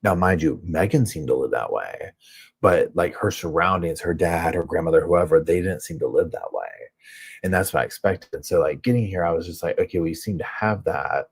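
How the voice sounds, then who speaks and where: A man with a Southern US accent hosts a chatty live stream from a hotel room, reading viewer comments as he goes. His voice sits low and sounds a bit husky. He rambles and fills his talk with loose, easygoing asides. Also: animated, normal speed. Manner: rambling, chatty, heavy on filler words. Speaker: true crime channel host.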